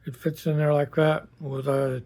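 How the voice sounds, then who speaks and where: Even-toned man casually demonstrating. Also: a little high, normal speed. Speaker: hobbyist builder presenting a project.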